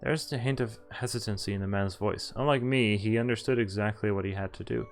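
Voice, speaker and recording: Male game streamer, lowish voice, casual read-aloud with self-corrections over faint music. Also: fairly even intonation, fairly quick. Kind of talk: reading visual novel text aloud with casual asides.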